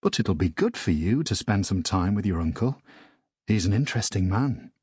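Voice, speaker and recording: Man voicing a gentle, reassuring mum, slightly husky. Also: low-pitched, expressive, normal speed, no echo, studio quality. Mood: soothing. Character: gentle, soothing pregnant mother. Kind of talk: audiobook character voice.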